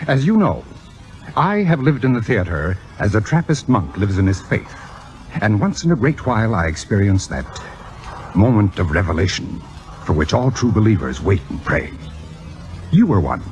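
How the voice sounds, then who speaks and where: A waspish, swooping urbane critic. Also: male voice, on the low side, big pitch swings, moderate pace, long pauses, darkish tone, soft background music, poor audio. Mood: smug, lofty. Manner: pompous, droll, precise. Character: urbane, waspish male theater critic, smugly needling. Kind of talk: 1950s radio drama acting.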